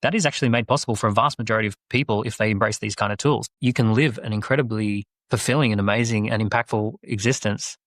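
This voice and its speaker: Fast-talking low-voiced man. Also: dry recording, studio quality. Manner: animated, persuasive, rapid. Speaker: entrepreneur guest on a podcast. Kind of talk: podcast conversation.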